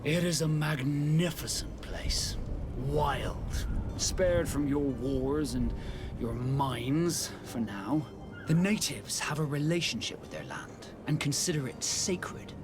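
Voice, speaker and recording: An actor playing a proud, composed young sea captain in a fantasy game, speaking in a measured, formal way. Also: male voice, a little high. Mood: reflective. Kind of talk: scripted fantasy game dialogue. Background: music.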